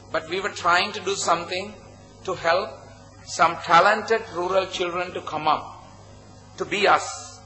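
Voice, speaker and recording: Sri lankan guy giving a conference talk, pretty high bright voice, bit of music behind. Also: poor audio. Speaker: conference presenter, project founder. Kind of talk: conference presentation. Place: conference hall at a hotel.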